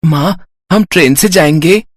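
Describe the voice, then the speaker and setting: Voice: medium pitch, unhurried, slight background noise, natural voice. An actor voicing a poor, sensible six-year-old boy in a cartoon story. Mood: eager.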